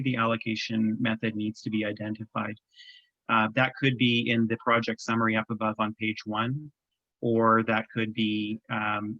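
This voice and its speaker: A Canadian man presenting on a government webinar, walking through an application form in a measured, explanatory way with frequent ums. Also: fairly low pitch, gravelly, pushed and bright, dry recording, lo-fi sound. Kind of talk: webinar walkthrough. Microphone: video call.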